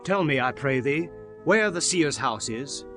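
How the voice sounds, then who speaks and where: A man's voice: mid-range voice, expressive, measured pace, over music, close to an everyday voice. A man playing a dutiful, anxious young son in a dramatized scripture reading, with a concerned manner. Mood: humble.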